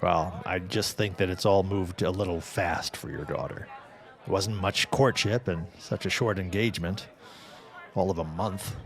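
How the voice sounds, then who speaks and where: An actor playing a measured, gently skeptical middle-aged 1910s father in an audio drama, musing as he talks. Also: low-pitched. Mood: doubtful, musing. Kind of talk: period audio drama acting.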